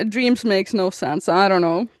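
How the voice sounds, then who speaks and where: A woman's voice: medium pitch, takes it slowly, no echo, studio quality. Giggly woman telling a weird dream. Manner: animated storyteller, giggly. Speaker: podcast co-host. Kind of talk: casual chat, storytelling.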